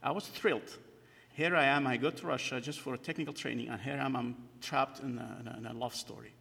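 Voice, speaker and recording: Gravelly man, halting testimony in a hall. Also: mid-range voice, fairly even intonation, sharp tone. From Kurdistan, northern Iraq. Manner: hesitant, with frequent fillers and restarts. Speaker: church member giving testimony. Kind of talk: personal testimony. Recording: long hall reverb.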